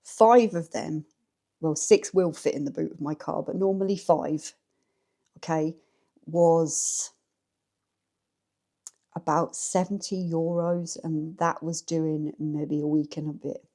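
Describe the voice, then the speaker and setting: A woman with an English accent chats to her viewers from her living room. Her voice sits low and sounds mellow and relaxed. She is frank and talks to camera as if to a friend over a cuppa. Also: takes it slowly, pauses often. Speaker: vlogger. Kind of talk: casual chat to camera. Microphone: camera set up in the room.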